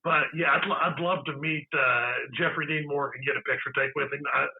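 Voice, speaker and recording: A raspy-voiced man chatting excitedly on a casual podcast, repeating words as he rushes on. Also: on the high side. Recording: tinny phone-line sound.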